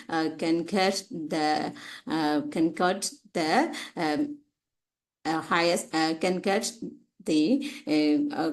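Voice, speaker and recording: A woman giving an academic slide presentation as a researcher, speaking haltingly with frequent pauses and repeated phrases. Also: on the low side. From Myanmar.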